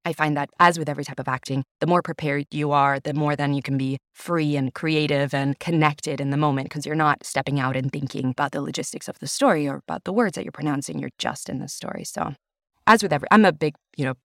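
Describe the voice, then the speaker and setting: Lively Italian-native woman narrator. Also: low voice, fast talker, no echo, clean studio sound. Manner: enthusiastic, effusive, frequent "you know". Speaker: audiobook narrator and actor, interview guest. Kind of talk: conversational podcast interview.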